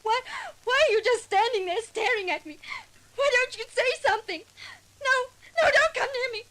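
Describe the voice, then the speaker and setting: Terrified young woman, shrill and high. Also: moderate pace, a bit bright. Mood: frightened pleading. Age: young adult. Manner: breathless, shrieking pleas. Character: terrified young woman pleading for her life. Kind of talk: 1940s radio acting.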